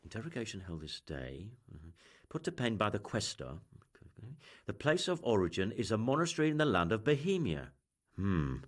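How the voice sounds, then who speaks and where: An actor playing a shrewd, learned official in a video game, musing and authoritative. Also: male voice, fairly low pitch, very animated, moderate pace. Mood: pondering. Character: learned, shrewd male official leading an investigation.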